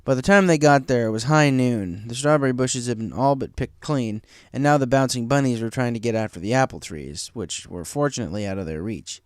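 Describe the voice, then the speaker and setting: A man's voice: mid-range voice, fairly quick, hardly any pauses, clear, resonant tone, no echo, studio quality. A man reading scripted story narration as the narrator of a fan tale.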